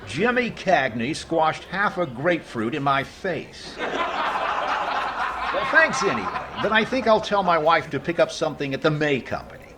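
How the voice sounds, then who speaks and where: A man playing a fussy, stingy, bemused comedian in an old-time radio comedy, with deadpan pauses. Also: fairly high pitch. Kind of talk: 1940s radio comedy acting. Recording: noisy, laughing audience behind.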